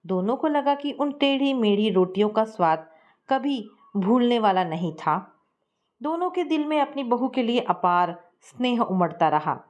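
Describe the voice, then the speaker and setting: A woman reading a family moral story aloud in a steady, tender narrating manner. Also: on the high side, unhurried.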